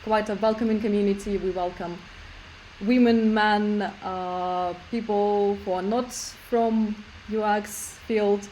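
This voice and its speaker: A woman organizer introducing an online meetup, speaking briskly with halting "uh" fillers. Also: medium pitch, steady tone, a little mellow, a little hiss or hum, low-quality recording. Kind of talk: event introduction.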